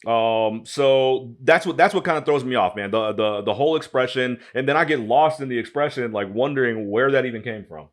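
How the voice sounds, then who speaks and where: A man's voice: mid-range voice, fairly quick, hardly any pauses. A man from Detroit co-hosting a casual talk show, rambling with many restarts and fillers. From Detroit, USA. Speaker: podcast co-host. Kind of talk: casual chat.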